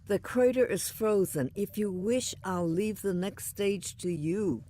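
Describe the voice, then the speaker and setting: A woman playing a composed, diplomatic starship officer in command in an audio drama, measured, calm and dry. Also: mid-range voice, close to an everyday voice.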